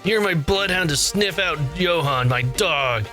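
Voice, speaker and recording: A man's voice: high-pitched, expressive, measured pace, pushed and bright, soft background music, exaggerated voice. A man reading as a bossy young hunter. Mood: domineering. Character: brash young male vampire hunter, domineering.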